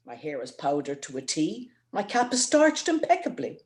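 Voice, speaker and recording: A woman's voice: low voice, wildly swooping pitch, moderate pace, darkish tone. Woman acting a theatrical, lovelorn young maiden. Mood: boastful, saucy. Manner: declamatory, theatrical, rhythmic delivery. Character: lovelorn, indignant, bawdy young Irish maiden pleading in court. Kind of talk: dramatic recital of comic rhyming verse.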